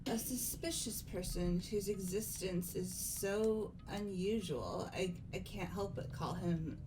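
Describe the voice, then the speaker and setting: Composed, formal young female game character, played by a woman; slow, measured, halting delivery over prominent music. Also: mid-range voice, close to an everyday voice, poor audio. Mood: grave, formal. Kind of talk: dramatic video game voice acting.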